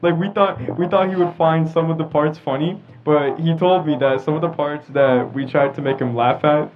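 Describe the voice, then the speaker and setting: A man's voice: a little high, fairly even intonation, moderate pace, phone-quality sound, music in the background. A teenage boy from New York chatting casually and telling stories as a podcast co-host. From New York, United States. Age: teens. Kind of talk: casual chat, storytelling.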